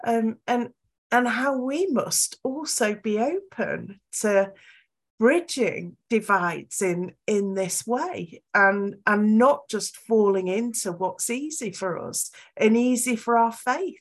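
Woman, higher voice, unhurried, reflective, casual Bible chat. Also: expressive. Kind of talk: casual scripture discussion.

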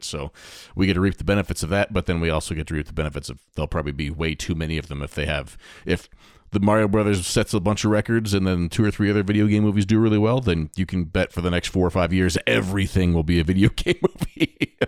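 A man's voice: low-pitched, dry recording, studio quality. A middle-aged man chatting casually as a podcast co-host about things he follows. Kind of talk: casual conversation about movies and games.

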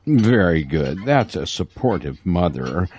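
A low, expressive doctor's voice acted by a man, earnest and patronizing. He persuades slowly and reassuringly in comic audio-drama style, with faint noise behind. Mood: persuasive, approving. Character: smooth-talking, earnest, reassuring male doctor.

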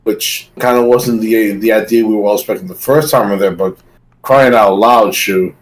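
A man's voice: lowish voice, steady tone, moderate pace, low-quality recording. A man co-hosting a podcast, chatting casually in an agreeable, rambling way and repeating his phrases. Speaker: podcast co-host. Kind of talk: casual review chat.

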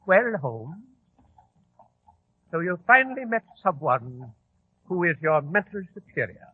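A menacing ringleader in a vintage radio drama, theatrical and taunting. The high, husky voice swings dramatically up and down, heard through a tinny old broadcast recording. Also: male voice, very animated, a little slow, no echo, exaggerated voice. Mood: gloating menace. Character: menacing, volatile male leader of a bomb plot.

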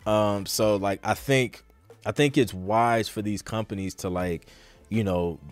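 A man's voice: on the low side, a little slow, no echo, faint music behind. A man hosting a podcast in a casual live Q&A, talking informally with slang and thinking aloud as he goes. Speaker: podcast host answering listener questions.

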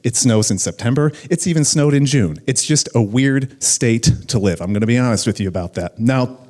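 A man's voice: mid-range voice, expressive, a little fast, a little mellow. American guy pastor giving a laid-back chatty sermon to students, jokey and slangy. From United States, Illinois. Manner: casual, joking, youthful slang. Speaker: guest speaker, pastor.

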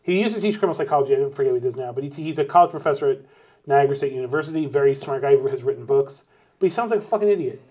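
Fast-talking man chatting on a podcast. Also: mid-range voice. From Lowell, Massachusetts, raised in California. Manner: quick, swearing, animated storytelling. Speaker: podcast co-host. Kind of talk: casual chat.

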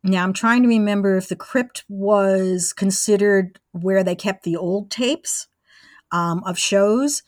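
A woman's voice: medium pitch, slow pace, dry recording, clean studio sound. A woman in her sixties recounting her past step by step in a conversational interview, as the guest. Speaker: interview guest, former college radio engineer.

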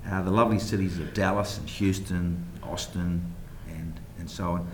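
Deep-voiced New man, echoey room. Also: fairly even intonation, normal speed, slight background noise. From New Zealand. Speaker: guest, private equity investor. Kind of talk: radio interview at a banquet. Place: banquet hall.

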